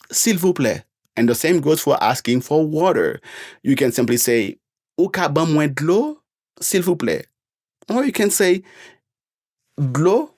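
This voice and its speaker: A man's voice: mid-range voice, a little mellow, dry recording. Upbeat, encouraging male language teacher. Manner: upbeat, encouraging, carefully spelled-out phrases. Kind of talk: beginner language lesson. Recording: dry, faint music behind.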